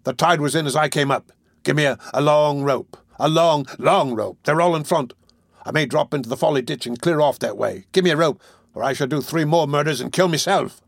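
A man's voice: a little high, moderate pace, vocal fry, hardly any pauses, a bit bright, no echo, clean studio sound. A man voicing a desperate, violent murderer in a storybook, with fierce, commanding outbursts.

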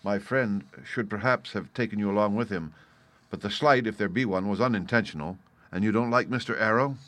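A man voicing a composed, shrewd gentleman doctor in an audiobook, measured and courteous in manner. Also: fairly low pitch, lightly acted. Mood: calm, reasoning. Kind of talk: audiobook character voice.

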